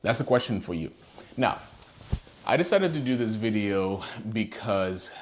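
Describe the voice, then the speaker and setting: A man from Haiti, his voice sitting on the lower side, delivers a heartfelt monologue straight to his audience. He is emphatic and earnest, pressing his points with pointed rhetorical questions. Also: lively intonation, unhurried, slight background noise. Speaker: host. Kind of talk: earnest personal monologue to camera.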